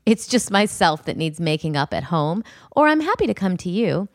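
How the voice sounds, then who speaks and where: A woman's voice: lowish voice, very animated, moderate pace, dry recording, clean studio sound. A woman reading out messages as a chirpy, penny-pinching bride, in an amused dramatic reading. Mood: businesslike, pleading. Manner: reads emojis and spellings aloud, amused.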